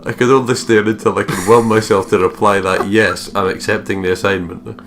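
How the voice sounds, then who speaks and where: A man's voice: fairly low pitch, steady tone, fairly quick, fairly bright tone. A man hosting a let's play, reading a visual novel aloud with jokey, sweary asides. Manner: jokey, swears freely, breaks off into ad-libs. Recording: game music behind the voice.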